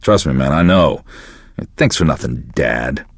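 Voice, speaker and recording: An actor playing a self-pitying, sardonic radio DJ in a video game, world-weary and deadpan. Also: male voice, rumbling low, a little slow, breathy edge, no echo, studio quality, full character voice. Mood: bitter, weary. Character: bitter, self-pitying male radio DJ with a wrecked life. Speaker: radio DJ host. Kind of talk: scripted radio DJ patter for a game.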